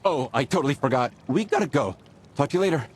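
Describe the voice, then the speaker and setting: An actor playing a chatty, distractible, cheerful helper in a video game, breezy and expressive. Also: male voice, mid-range voice, fast, slightly rough. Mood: flustered. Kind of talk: video game character acting.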